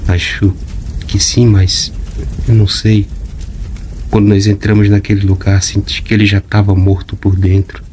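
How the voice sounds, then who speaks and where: A man's voice: lowish voice, flat delivery, normal speed, a little mellow, slight background noise, close to an everyday voice. A man voicing a thoughtful alien time traveller in an audiobook, speaking hesitantly. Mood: somber. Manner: hesitant, musing.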